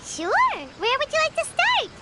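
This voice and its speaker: A woman voicing a tiny, childlike, excitable and easily spooked companion in a video game, with a squeaky voice and exclamatory delivery. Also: moderate pace, very noisy, exaggerated voice. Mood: agreeable. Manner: refers to self in third person, exclamatory. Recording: background music under the voice.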